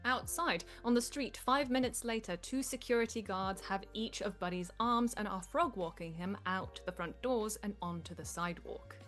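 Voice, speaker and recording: A woman's voice: medium pitch, normal speed, hardly any pauses, a bit bright, soft background music. Woman narrating a comedy script. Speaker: narrator reading stage directions. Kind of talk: scripted narration at a live comedy table read.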